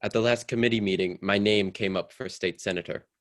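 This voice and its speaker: A low-pitched, proper husband in a radio drama, played by a man. He talks in a measured, mildly condescending way, like someone ambitious and careful of appearances. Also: fairly even intonation, close to an everyday voice. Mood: mild disapproval. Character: proper, cautious, ambitious husband with political hopes. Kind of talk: old-time radio drama acting.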